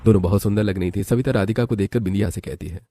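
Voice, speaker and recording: Mellow, quick-talking male storyteller. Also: lowish voice, fairly even intonation. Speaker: story narrator. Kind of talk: scripted storybook narration.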